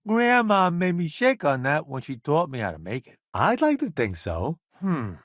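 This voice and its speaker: A cocky, wildly expressive spacefaring foodie. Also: male voice, fairly high pitch, moderate pace, dry recording, studio quality. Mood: self-assured, wry. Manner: cocky, wry, theatrical showman delivery. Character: brash, self-assured veteran food journalist turned spacefaring crewman. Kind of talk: video game companion voice lines.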